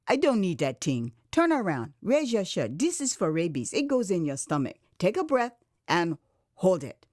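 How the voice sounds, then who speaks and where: Audio drama nurse: Liberian woman, brisk, unyielding, bossy, in dialect, played by a female narrator. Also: on the low side, very animated, a little mellow, no echo, clean studio sound. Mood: bossy, teasing. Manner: firm, bossy, dialect phrasing.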